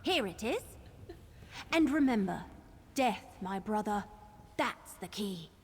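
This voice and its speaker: An actress playing a spirited, impatient young wood elf adventurer in a fantasy game, with a pert, emphatic delivery. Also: female voice, medium pitch, lively intonation, slow pace, a little mellow, breathy edge. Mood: teasing, impatient. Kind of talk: scripted fantasy game acting. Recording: long reverb, faint noise.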